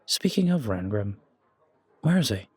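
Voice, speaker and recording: A man acts a modest, down-to-earth restaurant owner in a fantasy audio drama. His voice is lowish and he talks slowly, in a blunt, informal and understated way. The sound is dry and close. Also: very animated, darkish tone, husky, no echo, full character voice. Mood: casual. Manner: plain-spoken, understated, informal. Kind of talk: fantasy audio roleplay acting.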